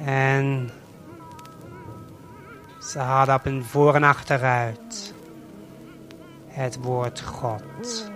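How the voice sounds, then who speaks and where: Slow male storyteller over prominent music. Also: medium pitch. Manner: measured, hypnotic short phrases with deliberate pauses. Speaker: narrator and guide of an audio play. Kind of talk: slow scripted storytelling addressed to the listener.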